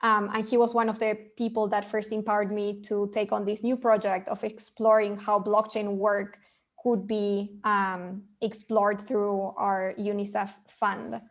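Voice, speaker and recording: A woman's voice: mid-range voice, flat delivery, unhurried. A woman from Argentina, a panelist answering at length in an earnest way, with many fillers and repeated words. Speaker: panelist from a children's charity.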